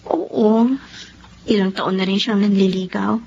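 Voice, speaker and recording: An actress in a Filipino radio drama playing a young, soft-hearted girlfriend hurt by a neglectful man. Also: medium pitch, fairly even intonation, unhurried, gravelly, lo-fi sound, slight background noise. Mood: reluctant, resigned.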